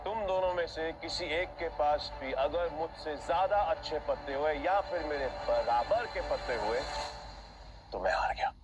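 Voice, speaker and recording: An actor playing a swaggering, taunting gambler villain in an audio drama, talking in mocking slang. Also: male voice, fairly high pitch, normal speed, natural voice. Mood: cocky, taunting. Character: cocky, taunting male gambler villain, destructive. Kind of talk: Hindi audio drama acting. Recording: music behind the voice.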